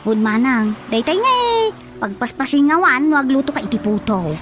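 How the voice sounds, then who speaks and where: A woman's voice: fairly high pitch, very animated, moderate pace. A Filipino woman with an Ilocano accent playing a polite, chirpy young village daughter in a radio drama comedy. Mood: polite, chatty. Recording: music behind the voice.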